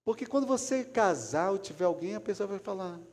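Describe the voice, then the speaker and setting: A man preaching a sermon, swinging between loud calls and quiet asides. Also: high-pitched, expressive, brisk. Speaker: pastor. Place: church hall. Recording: long church hall reverb.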